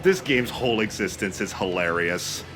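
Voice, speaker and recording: A man's voice: on the low side, animated, moderate pace, music in the background. A man giving casual, joking, sweary commentary as a let's play host.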